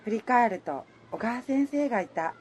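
A woman's voice: on the high side, lively intonation, moderate pace, a little mellow, slight background noise, lightly acted. A woman playing a level-headed heroine in her thirties who also narrates her romance in a Japanese radio drama. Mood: reflective narration.

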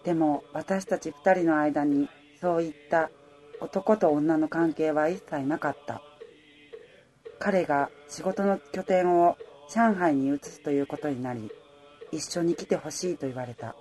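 A woman playing the wistful heroine of a radio romance, a female apparel worker narrating her own love story in a soft, reflective way. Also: sits low, unhurried. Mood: wistful, grieving. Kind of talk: radio drama narration and dialogue.

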